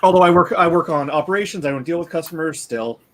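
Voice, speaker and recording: A man in his late thirties, speaking as a panelist in casual conversation, candid and halting with many fillers and restarts. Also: on the high side, background noise. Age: late 30s.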